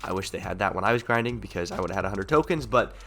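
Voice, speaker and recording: A young man hosting a gaming channel, talking through in-game market updates in a rapid, rambling, upbeat way while sick, so his voice sounds off. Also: lowish voice, lively intonation, pushed and bright, faint music behind. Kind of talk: casual gaming market commentary.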